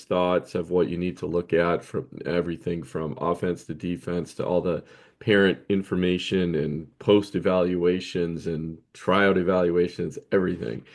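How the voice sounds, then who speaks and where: A man co-hosting a podcast in casual chat, talking in quick bursts with lots of uh and you know. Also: low voice, a little mellow. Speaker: podcast co-host.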